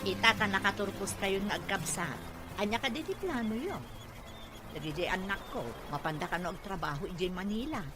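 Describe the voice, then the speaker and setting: A woman's voice: medium pitch, lively intonation, fairly quick, over music, lightly acted. A woman acting a proud, inquisitive village mother in an Ilocano drama, with an Ilocano accent. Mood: proud, prying. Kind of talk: amateur Ilocano radio-style drama acting.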